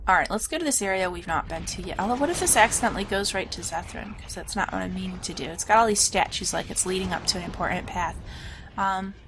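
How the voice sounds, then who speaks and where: A woman's voice: mid-range voice, fast, background noise. A young woman with a stuffed-up nose, thinking aloud casually while playing a game. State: stuffed up, sniffling. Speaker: let's play gamer. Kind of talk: casual gameplay commentary.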